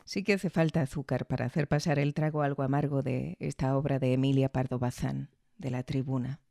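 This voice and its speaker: A woman's voice: very deep voice, fairly even intonation, creaky, dark tone, dry recording. A woman reading a reflective, scripted review as podcast host. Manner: reflective, measured.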